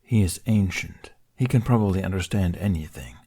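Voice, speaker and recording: Guy doing a sarcastic stubborn dragon, lowish voice, short and grudging, storybook style. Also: moderate pace, mellow, husky. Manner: curt, grudging, scornful. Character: grumpy, obstinate, sarcastic male dragon. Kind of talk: audiobook character voice.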